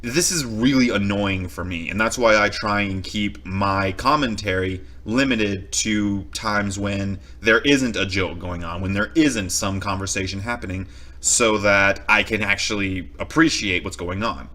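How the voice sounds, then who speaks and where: A man hosting a reaction video with casual commentary, explaining himself in wordy, measured phrases. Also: on the low side, bright, forceful tone.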